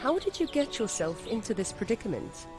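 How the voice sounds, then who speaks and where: A woman playing an ancient vampire noblewoman in a video game, composed, formal and aloof in her deliberate phrasing. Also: on the high side, big pitch swings. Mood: probing. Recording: music behind.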